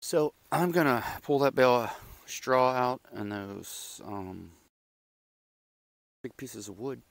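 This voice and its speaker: A man vlogging outdoors in his backyard garden on a lapel mic, his voice raspy from a loud night out as he chats in a rambling, self-deprecating way while doing chores. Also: mid-range voice, takes it slowly, no room sound.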